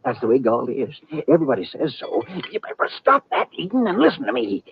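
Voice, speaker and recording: An actor playing a suspicious, nagging small-town banker in a radio western, exasperated and sputtering, with a rustic American manner of talking. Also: male voice, mid-range voice, huge pitch swings, fairly quick, creaky. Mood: suspicious, insistent. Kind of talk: 1950s radio western acting. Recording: dull, noisy old radio recording.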